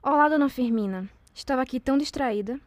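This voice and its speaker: Woman playing a high-voiced, imperious young Brazilian heiress. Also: slight background noise, natural voice. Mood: languid. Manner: cold, measured, imperious. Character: rich young woman of eighteen, cold, shrewd, commanding. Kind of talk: audio drama acting of a period novel.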